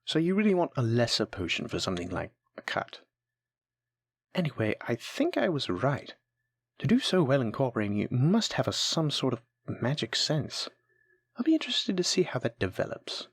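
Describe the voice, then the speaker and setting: Male alchemy teacher played by a male actor for fantasy audio roleplay: mid-pitched, animated intonation, gentle, encouraging, intimate dry recording. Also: normal speed, no echo. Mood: warm, reflective. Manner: gentle, patient, reassuring instruction. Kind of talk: audio roleplay acting, spoken intimately to listener.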